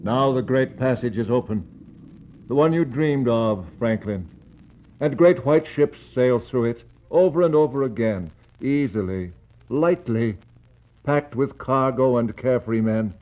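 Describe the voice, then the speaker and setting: A man acting a slow, brooding Arctic explorer. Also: medium pitch, lively intonation, natural voice. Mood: mournful, questioning. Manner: grave, deliberate, rhetorical. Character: brooding male Arctic explorer, reflective and mournful. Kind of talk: 1960s radio verse drama, poetic declamation. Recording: muffled 1960s radio recording.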